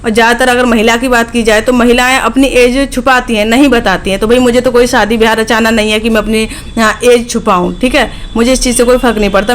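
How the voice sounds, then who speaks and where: A woman in her late twenties, a vlogger chatting directly to her viewers in a casual, frank way, often checking in with "okay". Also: fairly high pitch. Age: late 20s.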